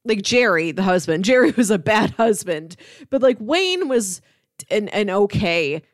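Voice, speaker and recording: A woman's voice: medium pitch, sing-song, a little slow, no echo. Female podcast co-host, conversational and analytical, emphatic asides, dry acoustic. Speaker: podcast co-host discussing a film. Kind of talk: casual conversational film analysis.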